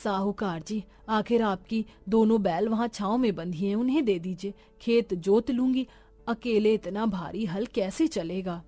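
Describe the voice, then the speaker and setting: A poor old village mother, acted by a woman in a cartoon tale. She is long-suffering and loving, and speaks in a plaintive, pleading way over soft music. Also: medium pitch, measured pace, dry recording, lightly acted.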